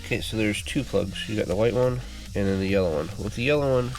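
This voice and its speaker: A congested man hosting a car repair channel, giving a relaxed, casual how-to walkthrough full of fillers while working on a car. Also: on the low side, fast, soft background music, poor audio. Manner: relaxed, filler-heavy explaining.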